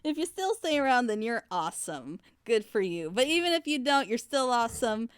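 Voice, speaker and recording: Lively young-sounding, high-voiced woman host. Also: fairly quick, smooth, no echo, clean studio sound. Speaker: host of a group reaction show. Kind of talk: casual reaction commentary with friends.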